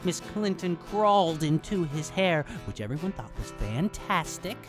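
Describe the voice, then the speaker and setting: A woman's voice: lowish voice, very animated, unhurried, music in the background. A woman narrating a rhyming storybook tale in a theatrical manner.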